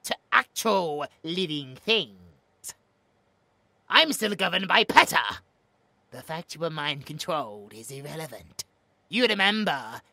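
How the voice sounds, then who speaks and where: A cruel scientist villainess acted by a woman with a lowish, bright, forceful voice that swings theatrically. She taunts with slow, relished emphasis, gloating through a horror audio drama. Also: gravelly, no room sound, heavily acted. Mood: sneering, taunting. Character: gloating, cruel female scientist interrogating her captives.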